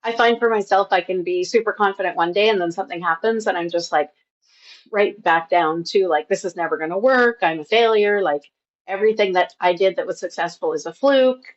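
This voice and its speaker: A woman's voice: on the low side, brisk, dull audio. A woman host and business coach, chatting casually over a video call with many "like" fillers. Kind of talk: casual interview chat. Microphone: video call setup.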